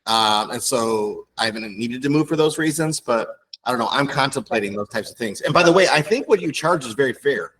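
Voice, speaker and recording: A man in his fifties hosts a casual interview, talking in loose, rambling runs. His bright, forward voice keeps returning to friendly asides and praise for his guest. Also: medium pitch, lively intonation, fairly quick, slightly rough, rough recording. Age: 50s. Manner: rambling, effusive, many fillers like you know what I mean. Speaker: podcast host.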